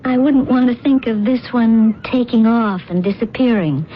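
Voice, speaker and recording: A woman playing a gentle, curious young rescuer in a radio drama, speaking informally with some hesitation. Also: fairly high pitch, moderate pace, close to an everyday voice. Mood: wondering. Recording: dull, slightly noisy vintage radio broadcast.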